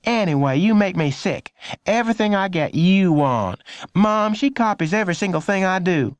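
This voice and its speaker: A man's voice: animated, normal speed, no room sound. A man voicing a whiny, indignant little girl in a children's audiobook. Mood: whining, indignant. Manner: whining, shrill complaining.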